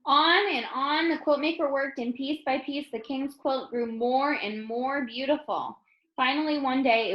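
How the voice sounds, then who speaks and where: A woman reading a children's story aloud as an expressive narrator. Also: on the high side, highs cut off. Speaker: storybook reader. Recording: roomy, echoing room sound.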